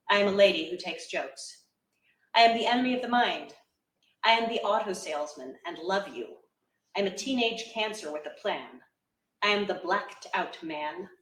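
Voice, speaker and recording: A woman's voice: mid-range voice, fairly bright tone. Woman reciting poetry in an echoey room. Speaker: actor giving poetry readings. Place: large indoor library room at a live event. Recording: echoey room, slightly distant mic.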